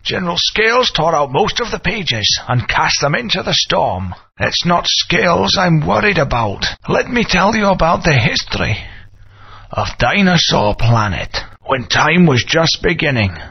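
An actor playing an old male wizard mentor, grave and weary, with a solemn, slow storytelling delivery and a husky voice. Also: fairly high pitch, sing-song, exaggerated voice. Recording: muffled lo-fi game audio.

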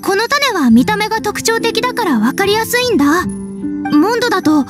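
A woman's voice: very high voice, very animated, normal speed. A woman voicing an earnest young knight scout in an anime video game, girlish, confident and reassuring. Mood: curious, helpful. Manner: bright-spirited, confident, girlish. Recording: music behind the voice.

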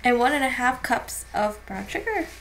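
A woman's voice: high voice, sing-song, moderate pace, slight background noise, rough recording. A young woman in her twenties casually talking through a baking recipe in her home kitchen, with lots of fillers and restarts. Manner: chatty, with frequent fillers and restarts.

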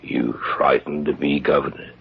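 An actor playing a slow, menacing British stranger lurking in dark woods, gravelly and husky, in an old radio drama. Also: male voice, rumbling low, fairly even intonation, exaggerated voice. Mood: quietly menacing. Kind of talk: 1940s radio acting. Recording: muffled, narrow-band old 1940s radio recording.

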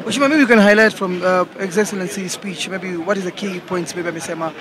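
A man, a reporter asking quick questions outdoors. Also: high-pitched, fairly even intonation, background noise.

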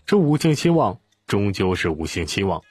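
A man narrating an audiobook in an expressive storytelling style. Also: fairly low pitch, moderate pace, breathy edge, dry recording.

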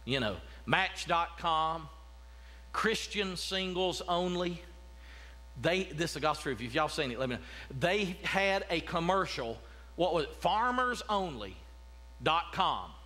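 High-voiced pastor preaching. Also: male voice, expressive, measured pace, pushed and bright. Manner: conversational preaching with frequent repeated restarts and asides. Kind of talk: sermon. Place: church sanctuary. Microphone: podium mic, walking about the platform.

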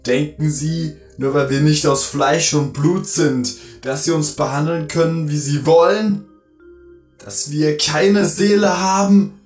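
A man playing a bitter, enslaved robot with a measured, accusing manner in a scripted audio drama. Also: fairly high pitch, fairly even intonation, faint music behind. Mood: enraged, vengeful. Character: bitter, enslaved male robot turning vengeful.

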